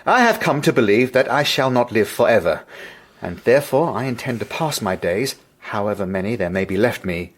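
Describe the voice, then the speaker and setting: A man's voice: medium pitch, big pitch swings, a little fast. A man acting in a radio drama as a principled, wry English schoolmaster, speaking in a formal, articulate way. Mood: resolute. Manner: formal, articulate, dryly indignant. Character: principled, wry, earnest schoolmaster resigning his post. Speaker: lead actor and narrator.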